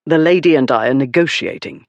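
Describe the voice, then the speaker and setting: A man's voice: vocal fry, dry recording, highs cut off, natural voice. A man playing a cool, disdainful duke. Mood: dry impatience. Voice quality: cool, low, carrying. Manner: dry, unhurried, disdainful. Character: calm, haughty, cool-headed aristocratic duke.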